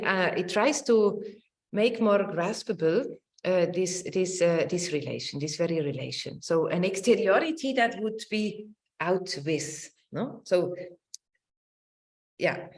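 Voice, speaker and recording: A middle-aged woman giving an academic lecture, thoughtful in phrasing and partly reading from notes. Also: lowish voice. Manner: halting, searching delivery with repeated words and tag "no?".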